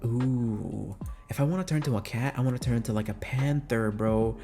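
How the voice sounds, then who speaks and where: A man's voice: mid-range voice, normal speed, vocal fry, warm tone. A young adult man chats casually and informally as an audio creator answering fan questions, close on the microphone. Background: music.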